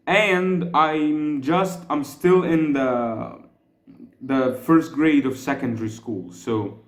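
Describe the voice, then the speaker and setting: A man's voice: mid-range voice, roomy sound. A man in his thirties from Egypt with an Egyptian accent, talking in a casual, rambling monologue full of restarts and fillers. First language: Egyptian Arabic. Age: 30s. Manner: hesitant, many restarts and fillers, earnest. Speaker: vlogger.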